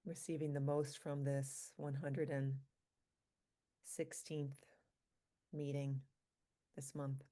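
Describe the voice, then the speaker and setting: A woman leading a guided meditation for a group, speaking calmly and slowly in short, spaced phrases. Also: sits low. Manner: calm, slow, pausing between short phrases. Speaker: meditation leader, physician.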